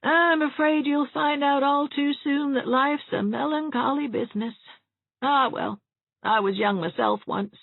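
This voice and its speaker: Lady putting on a high creaky old spinster, all doom and fuss, tinny sound. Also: a little slow, no echo. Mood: mournful. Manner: mournful, fussy, forever fearing the worst. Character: gloomy fretful elderly spinster woman.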